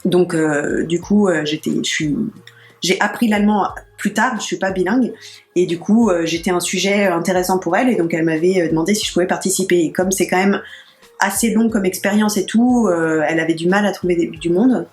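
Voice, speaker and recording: A woman's voice: fairly low pitch, brisk, a little mellow, reverberant. A young woman chatting casually in an interview about her channel, with plenty of fillers and restarts. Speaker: interviewed YouTube channel creator.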